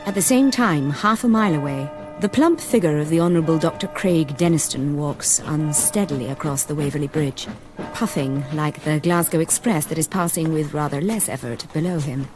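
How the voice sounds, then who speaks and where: An actress playing a sober investigator, narrating a gothic radio drama in a grave, measured way. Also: female voice, deep voice, lightly acted, poor audio. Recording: music behind.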